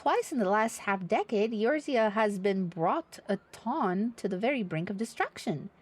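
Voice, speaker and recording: A woman voicing a grave, thoughtful counsellor in a fantasy game, speaking in a measured, formal and solemn way. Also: mid-range voice, animated, soft background music, natural voice. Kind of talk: reading fantasy game dialogue aloud.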